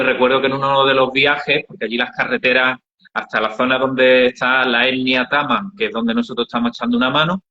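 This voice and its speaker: A middle-aged man with an Andalusian Spanish accent, telling travel anecdotes in an unhurried interview style, with frequent hesitations. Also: medium pitch, bright, forceful tone. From Andalusia, Spain. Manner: unhurried storytelling with many hesitations. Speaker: interviewee, founder of an aid project. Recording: dull, roomy recording.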